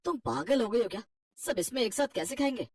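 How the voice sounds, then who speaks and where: A man's voice: extremely high pitch, expressive, a little fast, breathy edge, no echo, studio quality, recorded from a distance, exaggerated voice. A man voicing a fed-up, stern adult son with a curt, reproachful manner in a family cartoon. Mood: angry. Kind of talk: Hindi family cartoon voice acting.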